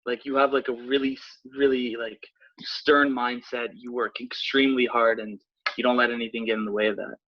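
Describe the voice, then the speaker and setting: A man's voice: mid-range voice, little pitch movement, brisk, no room sound. A man co-hosting a podcast who talks casually, laughs easily and swears. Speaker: podcast co-host. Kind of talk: casual chat. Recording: dull lo-fi sound.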